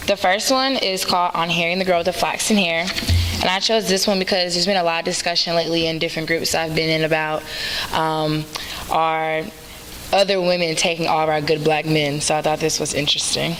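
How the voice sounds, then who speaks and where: A young woman reading poems aloud at a university read-in, slow and deliberate in her recitation. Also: low-pitched, steady tone, a bit bright, faint music behind. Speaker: student reader. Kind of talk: poetry reading at a public read-in. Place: university event hall. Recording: hissy event recording with long hall reverb.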